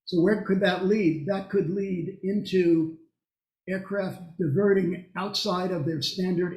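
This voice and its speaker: A man giving a technical presentation and answering questions in a halting, explanatory way, often pausing mid-sentence. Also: on the high side, a little mellow, roomy sound, rough recording.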